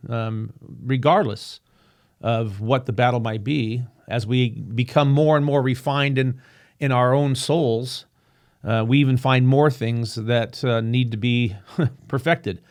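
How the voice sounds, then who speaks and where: A man hosting his own podcast, talking earnestly and reflectively, with frequent restarts and a slightly rough voice. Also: medium pitch. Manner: earnest, halting, with many restarts and fillers. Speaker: podcast host. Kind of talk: reflective solo podcast monologue. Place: home room with a painting behind him.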